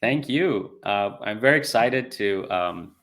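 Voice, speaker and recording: A man's voice: on the low side, animated, unhurried, fairly bright tone. A man hosting an interview over a video call, hesitant with many fillers between phrases. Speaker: podcast host.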